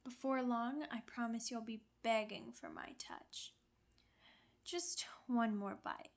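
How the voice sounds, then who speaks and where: A woman performs a sadistic female vampire in an ASMR roleplay, her voice fairly high and very unhurried. She is seductive and commanding, speaking with teasing menace and condescending tenderness, as if to a possession. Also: fairly even intonation. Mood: gloating, sensual. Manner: slow, deliberate, condescending pet names, teasing menace. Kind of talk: ASMR roleplay, intimate scripted monologue.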